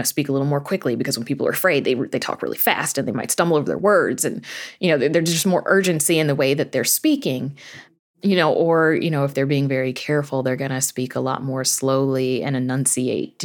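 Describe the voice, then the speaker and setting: Low-voiced woman chatting in an interview. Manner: thoughtful, explanatory, peppered with "you know". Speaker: podcast creator and narrator, interview guest. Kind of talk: casual interview conversation.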